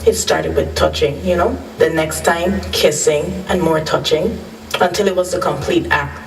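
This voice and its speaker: A woman's voice: on the low side, close to an everyday voice. A woman acting a composed, earnest doctor who hosts a radio call-in, in a radio drama. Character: composed woman doctor hosting a morning radio call-in, sharing childhood trauma. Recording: roomy, distant mic, faint noise.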